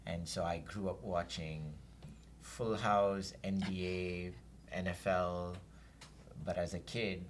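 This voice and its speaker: Deep-voiced man telling his story. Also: level tone, slow, a little hiss or hum. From Saudi Arabia. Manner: reflective, storytelling, with fillers. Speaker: guest. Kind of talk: casual interview chat.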